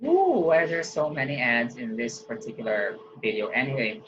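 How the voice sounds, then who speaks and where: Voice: mid-range voice, sing-song, moderate pace, lo-fi sound, noisy background. A host casually reacting to a video and talking to viewers in a chatty, upbeat way.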